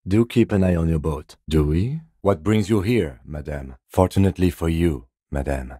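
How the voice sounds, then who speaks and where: An actor playing a composed middle-aged police detective in a video game, speaking in a measured, formal, dryly polite way. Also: male voice, low-pitched, mellow, slightly rough, no echo, clean studio sound. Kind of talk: dramatic game dialogue, separate voice lines.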